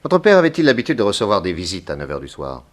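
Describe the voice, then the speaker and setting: A calm detective with a low voice, in a 1960s French radio play. He questions methodically, deliberate and courteous, always probing.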